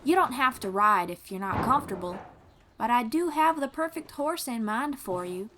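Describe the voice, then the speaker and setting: A woman's voice: a little high, expressive, moderate pace, noisy background, natural voice. A woman playing a friendly young traveling bard in an audio roleplay, encouraging and reassuring, with a folksy drawl and casual phrasing. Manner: encouraging, reassuring, gently guiding. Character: friendly young female traveling bard who teaches riding. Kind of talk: second-person audio roleplay acting.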